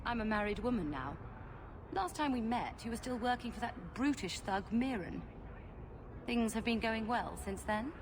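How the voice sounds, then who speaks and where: A woman's voice: fairly high pitch, expressive, moderate pace, close to an everyday voice. An actress playing a genteel, haughty lady potion merchant in a video game, speaking in an arch, poised and formal way. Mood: arch, amused. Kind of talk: video game cutscene acting. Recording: clear background ambience noise.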